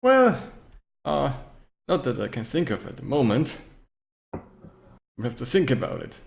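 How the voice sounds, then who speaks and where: A man voicing a scheming male spellcaster in a tabletop game, with a formal, halting and politely menacing way of speaking. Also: medium pitch, wildly swooping pitch, gravelly, pitched above the performer's own voice, full character voice. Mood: politely threatening.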